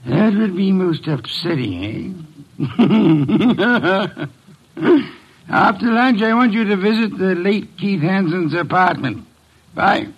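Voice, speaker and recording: Imperious gourmand detective, high-voiced, dry irony, radio drama, dull old recording. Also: male voice, expressive, slow pace, long pauses, slightly rough, exaggerated voice. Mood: dry, commanding. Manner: pompous, dryly ironic, commanding. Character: brilliant pompous gourmand private detective boss.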